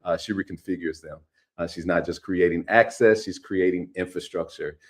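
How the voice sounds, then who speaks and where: A deep-voiced man from California introducing a guest on an online talk. He is enthusiastic and energetic, often doubling his words. His pitch sits very low. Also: normal speed. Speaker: co-host, interviewer. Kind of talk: live online interview.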